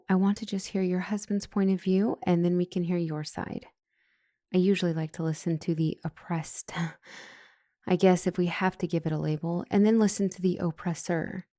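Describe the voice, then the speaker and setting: Mellow young woman therapist, easily flustered, acted. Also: lowish voice, no echo, studio quality, close to an everyday voice. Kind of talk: comedic audio roleplay acting.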